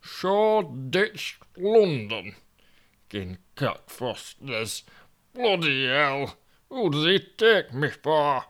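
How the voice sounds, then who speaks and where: A man's voice: a little high, big pitch swings, very slow, a bit bright, pitched above the performer's own voice, exaggerated voice. A man voicing a foul-mouthed, grumbling Yorkshire man of forty with a Yorkshire accent. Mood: indignant. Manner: cursing, grumbling, exasperated.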